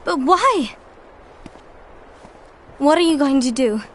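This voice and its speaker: A woman's voice: sits high, expressive, slow, slight background noise. An actress playing an earnest, anxious young woman who leads a union in a fantasy game. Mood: alarmed, resolute. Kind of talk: animated fantasy game cutscene acting. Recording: music behind the voice.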